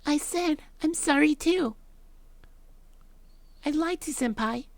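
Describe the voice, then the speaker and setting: Super high cutesy yandere schoolgirl, sweet n clingy, visual novel game voice. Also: slow, creaky, no echo, heavily acted. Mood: apologetic, pleading.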